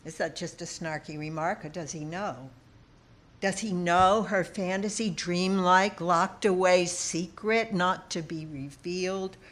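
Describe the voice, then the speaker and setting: A woman reading a literary personal essay aloud, with deliberate, dramatic phrasing and pauses. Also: on the low side, a bit bright. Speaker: essayist reading own work.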